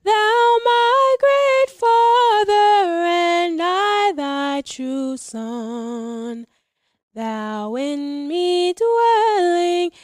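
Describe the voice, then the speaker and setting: Woman singing a hymn real slow, high pitched, quiet music behind her. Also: no echo, clean studio sound. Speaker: singer. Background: soft musical accompaniment.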